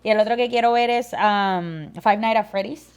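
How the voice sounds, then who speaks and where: A woman's voice: mid-range voice, brisk, clear, resonant tone. A woman, co-hosting a casual podcast chat and mixing Spanish and English. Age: 30s. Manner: switches between Spanish and English mid-sentence. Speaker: podcast co-host.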